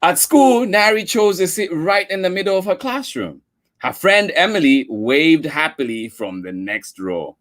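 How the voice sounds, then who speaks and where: A man reads a picture book to young listeners, cooing and sighing along with the story. His pitch swings widely and his pace is slow and deliberate. He stops now and then to talk to the children. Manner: expressive, sighs and coos at the story. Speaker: storytime reader. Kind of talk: children's read-aloud with asides.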